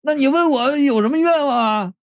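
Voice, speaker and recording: A man's voice: extremely high pitch, fairly quick, no echo, clean studio sound. A man voicing a terrified, tortured man who cries and pleads for mercy. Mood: whining. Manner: pleading, wailing. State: crying.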